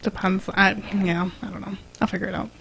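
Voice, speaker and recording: A young woman with an average-pitched voice swaps casual gaming stories as a podcast host. She acts out the remarks she quotes, speaking into a podcast mic in a small room. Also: steady tone, brisk, creaky, a crowd in the background, poor audio. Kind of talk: casual anecdotal chat about tabletop gaming. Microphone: podcast microphone on boom arm.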